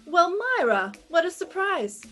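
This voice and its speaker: A woman's voice: very high-pitched, big pitch swings, measured pace, music in the background, heavily acted. A woman playing a breezy, wry female private detective in a 1950s-style radio play, with sassy delivery. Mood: polite, breezy.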